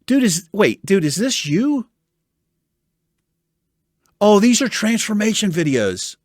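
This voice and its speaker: A man from the United States reading viewer comments in casual chat, animated and chatty with a soothing voice and casual swearing. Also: high voice, slow pace, dry recording, studio quality.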